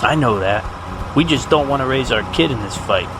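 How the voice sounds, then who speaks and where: Man playing, troubled male fighter, earnest, conflicted, audio drama, engines clearly behind. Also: mid-range voice, expressive, brisk, background noise, close to an everyday voice.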